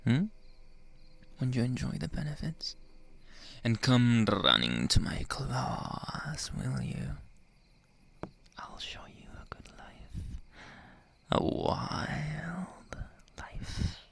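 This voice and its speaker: Slow, gravelly man playing a flirty werewolf. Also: mid-range voice, wildly swooping pitch, fairly bright tone, whispered, heavily acted. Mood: seductive, coaxing. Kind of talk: ASMR roleplay monologue.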